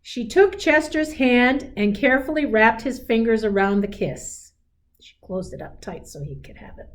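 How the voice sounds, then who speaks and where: A woman reading a picture book aloud to children in a deliberate, expressive, teacherly way, adding simple explanatory asides. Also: fairly high pitch.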